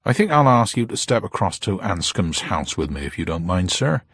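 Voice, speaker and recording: A male reader plays a formal police superintendent in a detective audiobook. He is brisk and politely insistent, with a husky edge and a dry, close sound. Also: low-pitched, very animated, fairly bright tone, dry recording, heavily acted.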